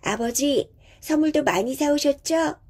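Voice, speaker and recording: A woman's voice: high-pitched, a little slow, darkish tone, pitched above the performer's own voice. A woman voicing a sweet young daughter in a storybook tale, with a girlish, earnest, polite delivery. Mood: excited. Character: sweet, dutiful young daughter of village father.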